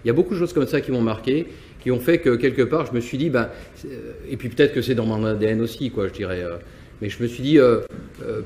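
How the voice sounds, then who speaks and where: A man's voice: medium pitch, fast, darkish tone. A man from Nantes who runs a company, answering interview questions hesitantly with many restarts and repeated words. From France, Nantes. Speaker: business owner. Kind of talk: interview answers, personal reflection.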